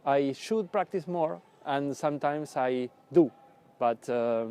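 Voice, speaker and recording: A man with an Argentine accent, slow and self-deprecating, speaking in a documentary interview. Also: fairly high pitch, darkish tone, a little hiss or hum.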